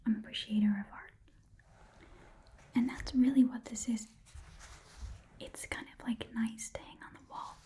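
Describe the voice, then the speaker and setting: A woman voicing a shrewd, chatty goblin shopkeeper in a breathy whisper, rambling and muttering to herself as she haggles in an ASMR roleplay. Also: a little high, fairly even intonation, moderate pace, long pauses, mellow, lightly acted. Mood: grudging, muttering. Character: shrewd, chatty goblin pawn shop keeper.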